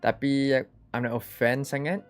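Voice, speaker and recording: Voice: medium pitch, fairly even intonation, normal speed, faint music behind. A streamer with a Malaysian accent, chatting casually over gameplay and switching between two languages. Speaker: game streamer.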